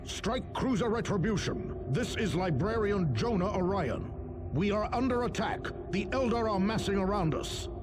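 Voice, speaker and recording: A man's voice: high voice, lively intonation, normal speed, background noise. A man playing a noble, embattled warrior mystic in a video game, declaiming formally and earnestly. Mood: urgent, grim. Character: noble, embattled male space marine battle psyker. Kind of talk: dramatic video game acting.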